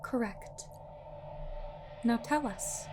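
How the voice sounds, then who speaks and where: A woman's voice: fairly high pitch, extremely slow, noisy background. A woman playing a cold, commanding cult survivor who interrogates her enemy firmly in an audio drama. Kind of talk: dramatic audio roleplay acting.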